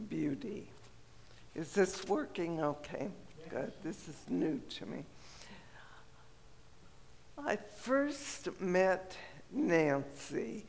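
Raspy male guest speaker from Colorado, easygoing pulpit delivery, slightly high voice, faint room hum. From Colorado, USA. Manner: unhurried, conversational, self-deprecating. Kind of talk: sermon talk. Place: large church sanctuary. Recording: echoey hall, faint room noise.

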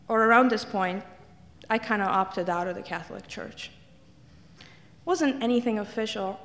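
A woman from Italy, telling wry, self-deprecating stories as a speaker in a church. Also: mid-range voice. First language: Italian. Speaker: guest speaker. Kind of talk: humorous personal testimony talk. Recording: echoey hall reverb.

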